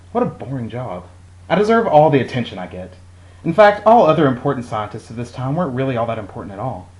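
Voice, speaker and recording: Man playing a vain, fast-talking monk scientist. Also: mid-range voice, darkish tone, natural voice, low-quality recording. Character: vain, resentful monk scientist of 1860s Europe. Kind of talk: comic scripted puppet show monologue.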